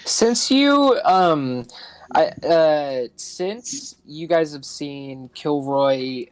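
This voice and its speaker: A man playing a tabletop roleplaying game, describing his character in a halting, casual way with repeated words. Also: on the high side, animated, clean tone, no room sound, poor audio.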